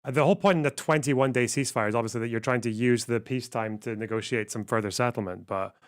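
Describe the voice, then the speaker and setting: A man's voice: medium pitch, fast. A man giving blunt, wry, sweary commentary on news during a live stream.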